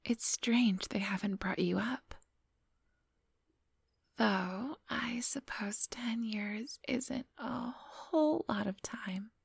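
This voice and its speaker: Slow, whispering vampire woman, acted by a woman. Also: fairly high pitch, no room sound, clean studio sound, full character voice. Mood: guarded, smooth. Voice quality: breathy, whispery. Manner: slow, unhurried, silky and patronizing. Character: centuries-old vampire woman, politely condescending, quietly menacing boss. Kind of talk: ASMR roleplay. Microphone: close microphone.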